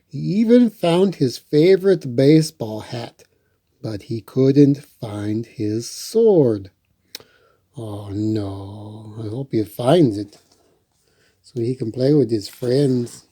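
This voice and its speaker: A man's voice: medium pitch, expressive, slow pace, mellow, husky. A man hosting storytime, reading a children's book and adding folksy, chatty asides. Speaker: storyteller and host.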